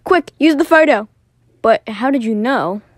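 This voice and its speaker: A woman acting out lines as voiceover for an animated fan skit. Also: a little high, measured pace, dry recording, clean studio sound.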